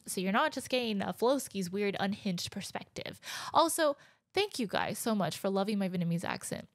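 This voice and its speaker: A woman's voice: medium pitch, a bit bright, dry recording. A young woman in her twenties hosting a casual solo podcast from her living room couch, chatty and candid, laughing now and then, speaking close into a handheld mic. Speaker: podcast host. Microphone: handheld dynamic mic, close.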